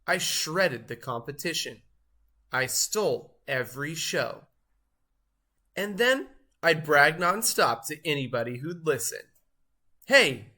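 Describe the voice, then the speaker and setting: Man playing a bright, boastful storybook cheese. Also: fairly high pitch. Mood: proud reminiscing, then smug. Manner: theatrical, grandiose, drawn-out emphasis. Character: boastful, show-off male cheese telling his story. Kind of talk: expressive children's storybook read-aloud.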